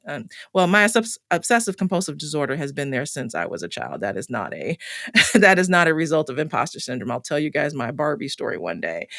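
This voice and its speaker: A middle-aged woman hosts a solo podcast and talks in a candid, conversational way, full of fillers and restarts. Her voice is bright and a little tired. The sound is dry, with no room echo. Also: low-pitched, vocal fry. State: voice getting tired. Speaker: podcast host.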